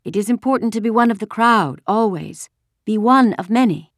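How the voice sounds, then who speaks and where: Woman as a frightened, cautioning mother, animated intonation. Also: medium pitch, normal speed, no echo, clean studio sound, voice pushed up. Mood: urgent warning. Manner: urgent, cautioning.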